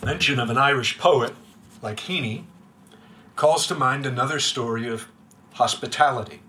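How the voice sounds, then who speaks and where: A man in his sixties giving a prepared, literary lecture to a room of listeners, measured, with his voice dropping quiet at the ends of lines. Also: mid-range voice. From United States. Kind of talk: reading a prepared reflective talk. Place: room with a live audience.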